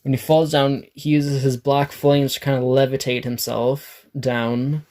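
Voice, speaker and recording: A man with a raspy, dry throat, narrating an improvised fan story, rambling and correcting himself. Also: medium pitch, little pitch movement, measured pace, darkish tone. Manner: rambling, self-correcting, swears.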